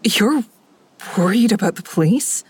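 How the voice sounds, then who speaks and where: A woman's voice: mid-range voice, lively intonation, a little slow, husky. An actress playing an anxious, conflicted woman in an audio drama, speaking plainly and with some hesitation. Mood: worried.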